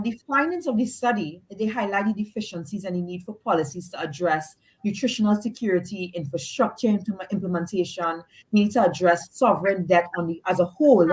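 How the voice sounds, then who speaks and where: A woman's voice: on the low side, a little mellow, poor audio. A woman with a Trinidadian accent, a guest on a radio talk show, explaining research earnestly with some restarts. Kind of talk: radio interview.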